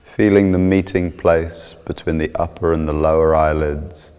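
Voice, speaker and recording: A man calmly guiding a meditation, soothing and measured, with long pauses between short instructions. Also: rumbling low, clean tone, in a large hall. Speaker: yoga and meditation teacher.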